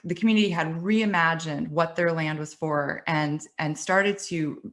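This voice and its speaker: Low-voiced woman telling a story. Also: unhurried, a bit bright. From United States. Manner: conversational, storytelling, some restarts and light laughs. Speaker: webinar speaker. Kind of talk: presentation talk. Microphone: webinar computer mic.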